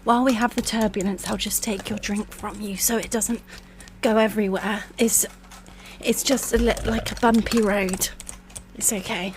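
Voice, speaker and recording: A woman doing an ASMR roleplay as a reassuring, attentive air hostess, speaking softly and soothingly, sometimes in a whisper. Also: mid-range voice, fairly even intonation, moderate pace, noisy background. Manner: soft-spoken, soothing, partly whispered.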